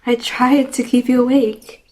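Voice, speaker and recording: A woman playing a loyal, guilt-ridden young girl in an audio drama, soft and sincere. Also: high-pitched, level tone, unhurried, poor audio. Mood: remorseful.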